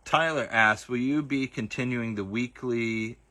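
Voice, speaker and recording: A man's voice: medium pitch, measured pace, sharp tone. A man with a light Southern accent hosting a livestream and answering viewer comments in a casual, hesitant way, with lots of fillers and restarts. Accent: Southern US, partly masked. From Southern United States. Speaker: host of a livestream.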